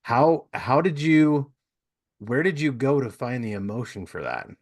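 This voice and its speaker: A man's voice: medium pitch, very animated, a little slow, dry recording, clean studio sound. A man hosting a podcast, asking questions in a casual, conversational interview style. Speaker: podcast host.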